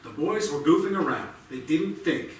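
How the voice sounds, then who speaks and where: A man's voice: on the high side, animated, moderate pace, lightly acted. An actor playing a defensive, argumentative middle-aged father in a live staged play reading. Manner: insistent, argumentative, stumbling over restarts. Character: defensive, combative father shielding his teenage son. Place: indoor theatre stage, recorded from a distance. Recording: echoey, distant theatre recording with faint noise.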